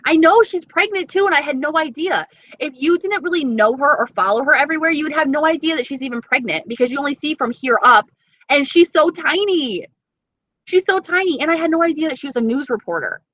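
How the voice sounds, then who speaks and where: A woman's voice: high-pitched, lively intonation, brisk, fairly bright tone, no room sound, highs cut off. A young woman with an East Coast accent chatting casually on a live stream, reading viewer comments and swearing freely. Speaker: beauty vlogger.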